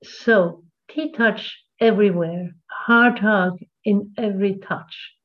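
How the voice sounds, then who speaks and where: Woman, slow guiding voice, tinny phone-like call audio, workshop presenter. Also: mid-range voice. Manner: slow, gentle guiding cadence. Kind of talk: guided meditation and group talk.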